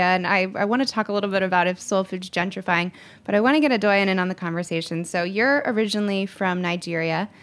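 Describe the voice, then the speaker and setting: A woman hosting a podcast, moving the talk along and asking her guests questions. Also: medium pitch. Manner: quick, asks guests questions. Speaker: podcast host. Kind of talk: interviewing.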